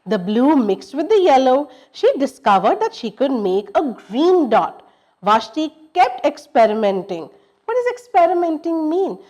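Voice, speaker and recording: A woman's voice: high voice, a little mellow. A woman hosting a children's storytime, reading aloud expressively and explaining things for young listeners. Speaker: storytime host and narrator.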